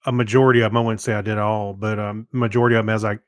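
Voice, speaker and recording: A man's voice: lowish voice, level tone, rapid-fire, dry recording. A middle-aged man co-hosting a podcast, chatting casually and telling personal anecdotes. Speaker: podcast co-host.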